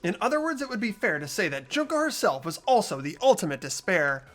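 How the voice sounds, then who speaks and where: A man's voice: high-pitched, sing-song, fast talker, fairly bright tone, faint music behind. A man playing an earnest, determined teenage boy detective in an amateur video game dub, insistent and accusatory.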